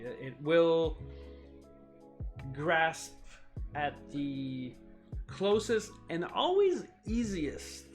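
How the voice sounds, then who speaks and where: Chatty French-speaking man, light music behind. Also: fairly high pitch. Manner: chatty, rambling, many fillers and restarts. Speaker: streamer. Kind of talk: casual live-stream chat while building.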